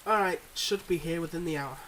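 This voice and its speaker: A suave, secretive mob boss played by a man talks to his partner in an intimate ASMR roleplay. His voice sits a little high, with a smooth, confiding, teasing manner. Light rain noise runs behind him. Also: fairly quick, close to an everyday voice. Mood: charming, relaxed. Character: suave, secretive young male mob boss, devoted online boyfriend.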